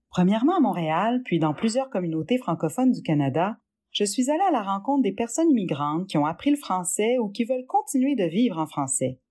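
A woman hosting a podcast, reading a scripted, inviting introduction. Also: medium pitch. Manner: warm, inviting, expressive reading. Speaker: podcast host.

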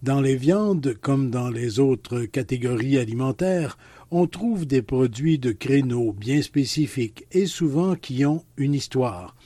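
A man's voice: medium pitch, animated, takes it slowly, mellow, no echo. A man with a Quebec French accent, a reporter narrating and asking friendly questions in a news interview.

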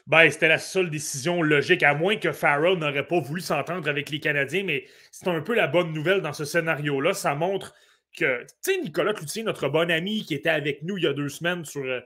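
A man with a Québécois accent co-hosting a sports podcast, giving animated analysis with frequent fillers and restarts. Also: a little high, fairly quick. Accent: Québécois French. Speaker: podcast co-host. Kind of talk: casual sports podcast talk.

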